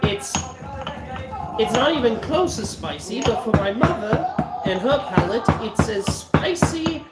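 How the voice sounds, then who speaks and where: A man's voice: very high voice, big pitch swings, unhurried, roomy sound, over loud music. A stuffy-nosed South African man hosting a live cooking stream, chatting casually with viewers and swearing now and then. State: stuffy nose. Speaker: livestream cooking host.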